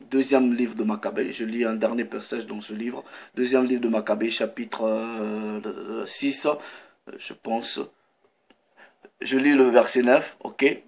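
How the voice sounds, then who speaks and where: A man's voice: mid-range voice, fairly even intonation, a little mellow. A man preaching in French, reading scripture aloud and stopping often to check in with listeners and comment. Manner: reads scripture slowly, breaks in with "ok" and comments. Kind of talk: sermon with Bible reading.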